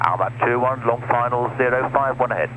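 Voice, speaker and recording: A man's voice: medium pitch, a little fast, lo-fi sound. A man making brief procedural pilot calls over aviation radio. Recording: noisy aircraft radio transmission.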